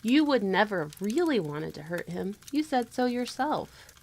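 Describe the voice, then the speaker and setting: A woman playing a mother who gently tells a legend to children in an audio drama. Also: mid-range voice, very animated, normal speed, a little hiss or hum. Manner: gentle, explanatory storyteller.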